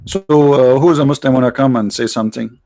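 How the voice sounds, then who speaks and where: Man, casual livestream talk, mocking tone, stop-start phrasing, mid pitch, dead dry sound. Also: fairly even intonation, mellow, dry recording. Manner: sarcastic, mocking, halting with many restarts. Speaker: livestream host.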